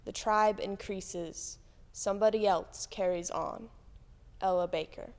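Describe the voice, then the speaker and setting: A woman reading quotations aloud in a solemn, measured, declarative way. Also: medium pitch, fairly even intonation. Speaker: church member reading aloud. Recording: long echoing reverb.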